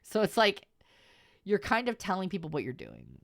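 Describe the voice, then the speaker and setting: A woman's voice: mid-range voice, huge pitch swings, normal speed, gravelly, dry recording, clean studio sound. A woman streamer giving advice to her viewers in informal livestream chat, repeating her points for emphasis.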